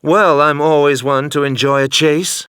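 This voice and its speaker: Smooth, suave male secret agent. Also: on the high side, steady tone, measured pace, a bit bright, no room sound, studio quality. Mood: cool, unflappable. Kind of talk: video game spy dialogue.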